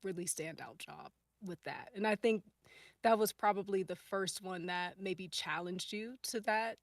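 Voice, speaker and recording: A woman's voice: medium pitch, a little slow, no echo. A woman chatting casually as a panelist, thoughtful and hesitant as she works out her answers. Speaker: podcast cast or crew panelist. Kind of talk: casual chat.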